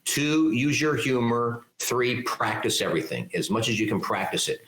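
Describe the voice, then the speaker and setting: An older man, a leadership speaker, answering interview questions in a loud, articulate, emphatic way and laying out his points one by one. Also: medium pitch, moderate pace, reverberant, low-quality recording. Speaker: keynote speaker and leadership mentor.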